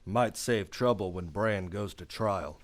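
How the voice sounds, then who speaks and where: A man's voice: sits low, measured pace. A man with an American accent playing a weary, stern, brooding marshal in a western radio drama, speaking in a terse and grave way. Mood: grim, brooding.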